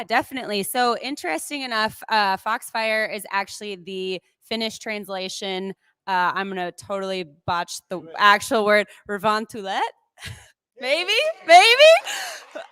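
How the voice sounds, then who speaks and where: Brief: woman, bright and smooth, dry close sound, playful storyteller. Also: medium pitch, no room sound. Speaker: team member presenting. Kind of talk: presentation on a live stream.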